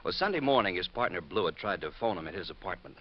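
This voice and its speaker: A man's voice: low voice, expressive, quick pace. An actor playing a blunt, harried insurance man with an American accent, talking colloquially and urgently in 1950s radio drama style. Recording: muffled vintage radio recording.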